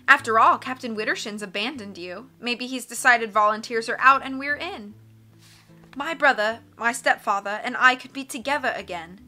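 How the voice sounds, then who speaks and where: A defensive teenage girl from a storybook , put on by a woman reader. She pleads her case earnestly and emphatically. The voice is high and very bright. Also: normal speed, faint music behind. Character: defensive, torn teenage girl with verbal tic.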